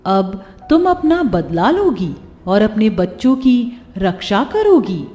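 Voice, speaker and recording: A woman's voice: medium pitch, sing-song, slow, warm tone, in a large hall, soft background music. A woman voicing a solemn mother goddess in a cartoon, proclaiming with authority. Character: solemn, commanding mother goddess granting revenge. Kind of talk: Hindi cartoon story acting.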